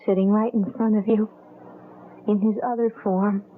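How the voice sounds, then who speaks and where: An actress playing a sharp-witted, intense young wife in a dramatic, deliberate radio drama style. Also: female voice, mid-range voice, flat delivery, slight background noise, close to an everyday voice. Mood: grim realization. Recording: tinny, narrow-band old radio broadcast.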